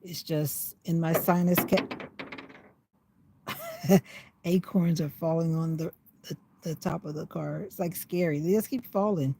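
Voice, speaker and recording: Older woman vlogger, slightly raspy, low and mellow tone, rambling chatty livestream from a parked car, phone mic, faint ambience behind. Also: fairly even intonation, unhurried, long pauses, slight background noise. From USA. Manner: rambling, chatty, talking to herself. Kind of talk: casual livestream chat. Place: inside a parked car in the rain.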